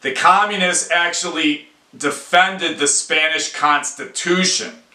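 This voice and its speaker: Sharp, bright-voiced American man, echoey room. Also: a little high, steady tone, slow pace. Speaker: independent news commentator, video host. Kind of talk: opinion commentary to camera, outlined talk.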